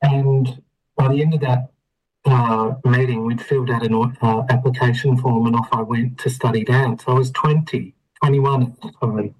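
An Australian man from Melbourne, a radio interview guest telling his life story in a relaxed way, with many ums and easy laughs. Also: medium pitch, normal speed, poor audio. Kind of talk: conversational storytelling.

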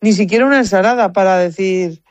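A woman's voice: medium pitch, fast, dry recording. Chatty Spanish woman in a dry recording. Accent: Spanish from Spain. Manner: chatty, anecdotal, repeats words while thinking. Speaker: competitive shooter, interview guest. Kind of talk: casual conversational interview answers.

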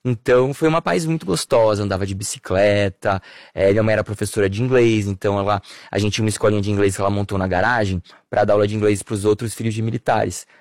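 Fast-talking Brazilian man reminiscing. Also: lowish voice, no room sound, clean studio sound. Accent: Brazilian Portuguese. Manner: warm, nostalgic storytelling with many fillers. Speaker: interview guest. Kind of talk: relaxed personal conversation.

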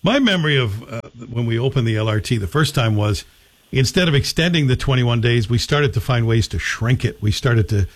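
A man's voice: mid-range voice, expressive, a little fast. A man with a Canadian accent, interviewing conversationally as host of a morning radio show.

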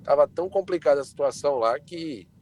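A man's voice: on the high side, a little fast, a little mellow, slight background noise, poor audio. A man reporting club news on a sports talk show, informative and conversational.

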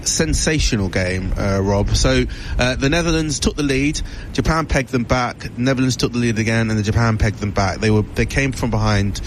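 A man's voice: mid-range voice, normal speed, slight background noise, poor audio. A man presenting a sports news report, talking fluently but with frequent "uh" fillers.

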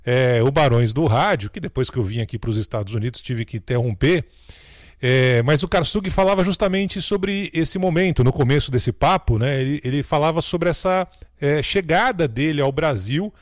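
A man on a radio talk show who fondly remembers a colleague who has just died. He speaks thoughtfully, pausing with fillers. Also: fairly high pitch, animated, no echo, clean studio sound. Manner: reflective, fond, with hesitant fillers. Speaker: radio commentator. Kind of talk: casual radio tribute.

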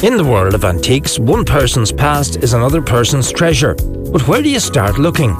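A man's voice: medium pitch, very animated, brisk, over music. An Irish man voicing a radio station promo, upbeat and inviting. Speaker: radio presenter.

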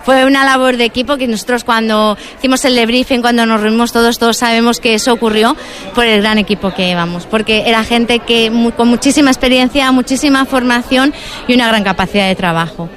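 A woman's voice: fairly high pitch, fairly even intonation, fairly quick, fairly bright tone. A woman emergency nurse from Madrid, an interview guest, telling her story in an earnest, modest way. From Madrid, Spain.

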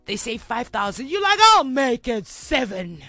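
A woman's voice: on the high side, big pitch swings, measured pace, pushed and bright, no room sound, faint music behind. A woman hosting a radio show, chatting playfully, laughing often and throwing in slang. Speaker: radio host. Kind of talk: lively radio chat.

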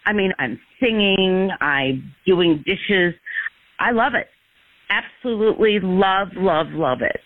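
A man's voice: high voice, takes it slowly, dry recording. Give me a man enthusiastically retelling a story over a dry phone line. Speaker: customer giving a testimonial.

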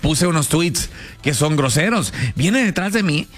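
A man's voice: a little high, lively intonation, a bit bright. Man hosting a call-in radio show. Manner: quick, casual slang, prods callers for opinions. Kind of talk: casual call-in radio chat, live interpreting.

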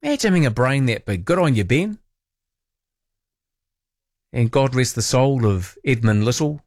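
A man's voice: medium pitch, expressive, normal speed, darkish tone, dry recording, clean studio sound. A man with a New Zealand accent hosting a late-night phone-in radio show, talking in a casual, colloquial, wry way. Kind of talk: phone-in radio chat and commentary.

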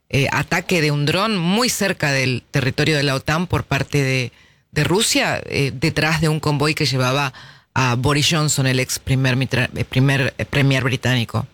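A man's voice: a little high, fairly bright tone, no room sound. A man hosting an interview, asking long, framing questions. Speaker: interview host. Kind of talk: radio or TV interview.

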